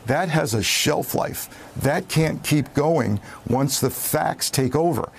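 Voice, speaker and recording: An American man offers political commentary as an expert guest. His mid-pitched voice is gravelly with fry, his delivery emphatic, and there is faint noise behind him. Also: slow. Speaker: former FBI official, news commentator.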